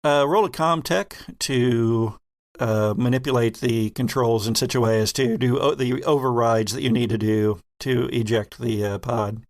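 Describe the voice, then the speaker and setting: A man narrating a tabletop horror game as game master, telling it deliberately with suspenseful pauses. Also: medium pitch, steady tone, no room sound.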